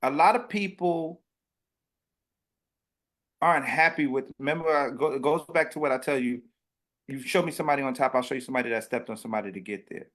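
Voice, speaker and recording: A man in his late thirties hosting a casual interview, animated and preachy, dropping to a hush between loud bursts. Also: mid-range voice, fairly quick, long pauses. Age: 30s. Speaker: podcast host.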